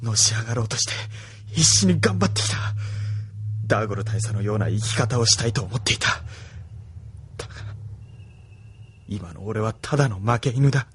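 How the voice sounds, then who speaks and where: A man playing a bitter, envious young male officer who talks in a brooding, hushed way that drops to whispers, in an anime audio drama. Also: lowish voice, lively intonation, moderate pace, long pauses, husky, exaggerated voice.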